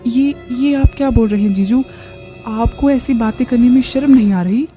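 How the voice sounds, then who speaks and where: High-pitched greedy young sister-in-law voiced by a woman. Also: normal speed, mellow, faint music behind, close to an everyday voice. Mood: startled. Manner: eager, wheedling, exclaiming.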